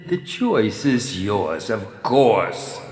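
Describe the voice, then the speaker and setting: An actor playing a sadistic, theatrical horror film director in a video game, with flamboyant delivery and gleeful menace. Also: male voice, lowish voice, sing-song, extremely slow, darkish tone, full character voice. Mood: sneering, theatrical. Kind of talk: video game villain voice acting. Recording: echoing long reverb, music behind.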